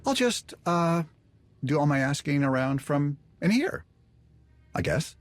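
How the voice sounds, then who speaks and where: Glib, shifty smuggler, lively and higher-pitched. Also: male voice, a little slow, no echo, soft background music. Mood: placating. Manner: glib, wheedling, stammers when cornered. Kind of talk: video game voice acting.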